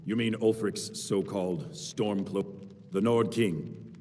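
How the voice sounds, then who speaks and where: An actor playing a dutiful, confident legion soldier in a fantasy game, speaking with formal assurance. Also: male voice, on the low side, slow pace, warm tone. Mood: assured. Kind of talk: video game character dialogue. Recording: distant, long hall reverb, music behind.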